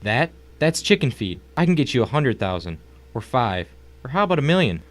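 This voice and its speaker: An actor playing a world-weary, self-assured crime boss in an audio drama, with a cultured drawl. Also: male voice, medium pitch, sing-song, brisk, lightly acted. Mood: pleading, desperate. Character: smug, world-weary crime boss, lazy, amused, turning desperate. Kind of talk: dramatic audio story acting.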